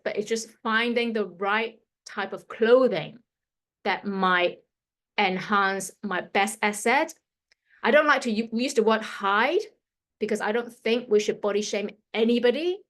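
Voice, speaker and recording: Woman, 50s, Hong Kong-London accent, chatty stylist giving a workshop over a computer mic. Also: mid-range voice. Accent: Hong Kong and London English. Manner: chatty, loose, with fillers and restarts. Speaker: fashion stylist leading a workshop. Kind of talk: casual presentation to an audience.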